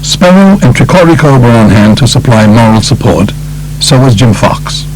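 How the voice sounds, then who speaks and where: Man reading an audiobook, animated pitch. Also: normal speed, music in the background, rough recording. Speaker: audiobook narrator. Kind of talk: scripted true-crime book narration.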